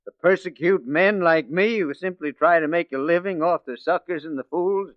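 An actor playing a bitter, self-possessed ex-convict forger with a clipped, worldly manner in a 1940s radio drama. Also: male voice, fairly high pitch, normal speed, no echo, natural voice. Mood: bitter, defiant. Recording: muffled 1940s radio recording.

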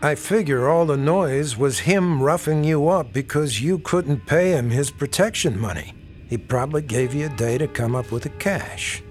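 An actor playing a middle-aged police detective in a radio drama, folksy and probing with casual insinuation. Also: male voice, fairly high pitch, expressive, normal speed, soft background music, lightly acted. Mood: accusing.

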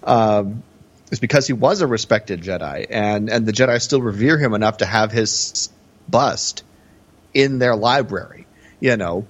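A man's voice: lowish voice, unhurried. A man co-hosting a podcast, talking about film in a casual, hesitant, musing way. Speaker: podcast co-host. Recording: slightly dull, lo-fi audio.

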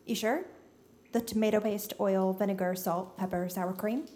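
A woman's voice: mid-range voice, steady tone, moderate pace, warm tone, natural voice. An actress playing a bossy, earnest, chatty young woman, a nerdy best friend, in a naturalistic audio drama. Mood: brisk, exacting.

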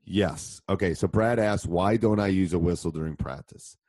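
A man in middle age with a very low, deep voice, roughened a little by a cold. He talks through coaching ideas in a loose, rambling way, stopping to restart his thoughts and filling the gaps with ums. The sound is dry and close, with no room around it. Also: normal speed, darkish tone, no echo. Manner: conversational, many ums and restarts. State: has a cold. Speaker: basketball coach. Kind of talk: live online coaching session answering questions. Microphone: handheld mic during live stream.

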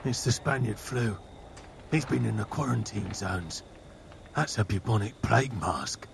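An actor playing a terse, plain-spoken British soldier in an audio drama. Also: male voice, fairly low pitch, animated, measured pace, vocal fry, a little hiss or hum, full character voice. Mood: matter-of-fact. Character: plain-spoken male soldier companion, wary and awestruck.

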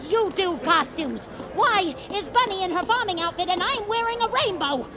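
A woman voicing an excitable, childlike, anxious amateur stage performer in a cartoonish audio drama, wide-eyed and earnest. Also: very high-pitched, moderate pace, crowd noise. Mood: panicked.

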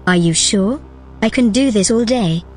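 A woman's voice: mid-range voice, measured pace, soft background music, lightly acted. Composed, obliging female AI played by a woman. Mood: obliging, playful. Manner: composed, helpful, gently teasing. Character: calm, obliging, playful female artificial intelligence. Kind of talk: naturalistic audio drama acting.

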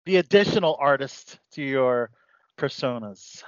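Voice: medium pitch, lively intonation, unhurried, no room sound. An interviewer asking curious follow-up questions in a casual chat. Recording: dry, dull lo-fi audio.

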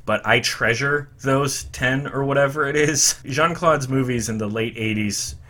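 A man hosting a music podcast, chatting like an eager fan with quick asides. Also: mid-range voice, pushed and bright. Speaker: podcast host. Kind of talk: enthusiastic music podcast commentary.

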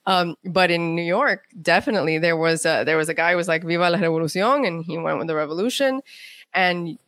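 A woman with a slightly low voice, recorded close and dry with hardly any room sound. She talks as an interview guest, telling anecdotes in a loose conversational way and slipping into quotes. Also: fairly quick, a bit bright, no echo. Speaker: guest, playwright.

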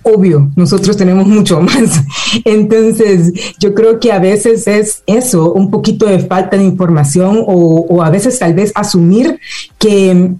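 A woman with a Salvadoran accent and a warm, mellow, medium-pitched voice answers as an interview guest. Her delivery is conversational and a little halting. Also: soft background music. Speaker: interview guest, education nonprofit manager.

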